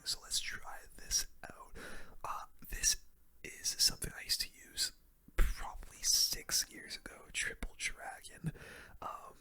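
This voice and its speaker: A man whispering casual, slangy gaming commentary in a breathy ASMR style, close to the microphone. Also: very high voice, pushed and bright. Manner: casual, slangy gamer talk. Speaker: gaming video host.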